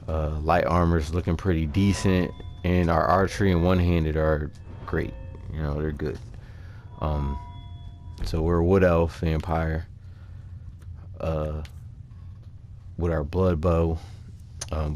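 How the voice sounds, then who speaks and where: A man's voice: sits low, a little mellow. A man hosting a gaming video, talking through a character build in an informal, conversational and somewhat hesitant way. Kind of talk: casual game build commentary. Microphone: voice-over on a microphone over game capture.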